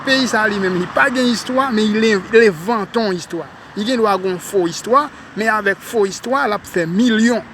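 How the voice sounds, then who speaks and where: A man from Haiti with a Haitian French accent, talking casually to viewers while walking outdoors on a city street and addressing them as ladies and gentlemen. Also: high-pitched, moderate pace, hardly any pauses, slight background noise. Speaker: vlogger. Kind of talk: casual walking vlog monologue.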